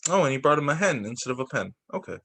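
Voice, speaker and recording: A man's voice: mid-range voice, very animated, rapid-fire, creaky, fairly bright tone, breathy edge. A man reading a children's story aloud as a teacher, expressively, with exclamations and little asides of his own. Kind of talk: animated picture-book read-aloud with asides.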